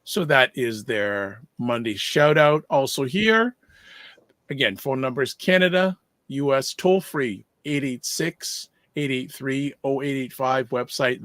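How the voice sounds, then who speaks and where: A man's voice: medium pitch, a bit bright, no echo. Upbeat man hosting a morning show. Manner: upbeat, reads sponsor details slowly and deliberately. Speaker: podcast host. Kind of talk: casual morning show chat.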